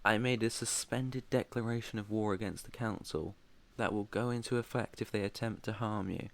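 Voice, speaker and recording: A man's voice: on the low side, steady tone, moderate pace, gravelly. A British man playing an ancient vampire master in an ASMR roleplay, proud, protective and tender, with an earnest, slightly formal way of talking. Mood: resolute. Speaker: roleplay voice performer.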